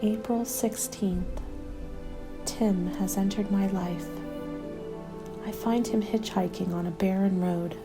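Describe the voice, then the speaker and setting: A woman playing a weary, guarded survivor of a zombie apocalypse, narrating a diary in a hushed, breathy, measured ASMR style. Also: medium pitch, steady tone. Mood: guarded, reflective. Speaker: narrator of an audio roleplay. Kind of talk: ASMR scripted first-person diary narration. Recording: music laid under the voice.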